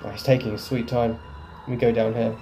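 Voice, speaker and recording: A man's voice: medium pitch, level tone, fairly quick, warm tone, soft background music. A man giving casual gameplay commentary, thinking aloud as he plays. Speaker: video game player and commentator.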